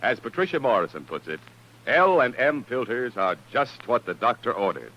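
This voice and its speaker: A man's voice: mid-range voice, big pitch swings, normal speed. An American man reading a scripted advertisement in a 1950s radio style. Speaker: commercial announcer. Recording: muffled vintage radio recording.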